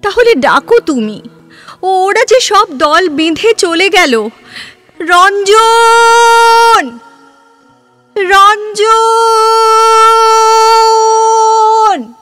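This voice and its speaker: A woman playing a girlish, searching, idealistic young woman in love in a literary audio drama, with halting, intense delivery. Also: extremely high pitch, a bit bright, soft background music, heavily acted. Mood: desperate calling.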